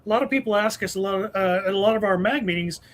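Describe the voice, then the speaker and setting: A man's voice: high voice, quick pace. A man hosting a live show, chatting casually and effusively as he introduces guests. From United States. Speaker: host of a preparedness show.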